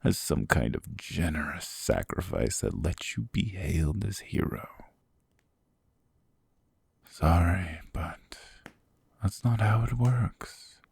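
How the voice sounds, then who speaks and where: A man voicing a gravelly, whispering demon king. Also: low voice, animated, a little mellow, exaggerated voice. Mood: mocking. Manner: slow, mocking, condescending. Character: cold sadistic male demon king, possessive. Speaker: voice actor. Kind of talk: ASMR roleplay audio.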